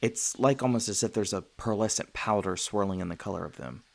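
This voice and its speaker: A man voicing a gentle, self-conscious young-seeming vampire in an intimate ASMR roleplay, talking earnestly with hesitant little stammers. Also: fairly low pitch, a little fast, vocal fry. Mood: warm, admiring. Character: gentle, self-conscious male vampire, century old but youthful, sweetly flustered.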